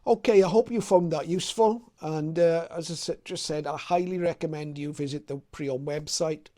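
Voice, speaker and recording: Higher-voiced man giving an informal tutorial. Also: normal speed, warm tone. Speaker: presenter of a shortwave radio tutorial. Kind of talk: informal tutorial commentary over a website demo.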